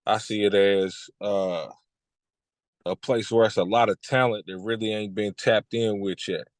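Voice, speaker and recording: A man's voice: fairly low pitch, fairly even intonation, a little slow, dry recording. Laid-back man in his thirties from Kentucky. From Lexington, Kentucky. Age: 30s. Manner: laid-back, repeats "you know what I'm saying". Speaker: music artist guest. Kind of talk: casual interview chat.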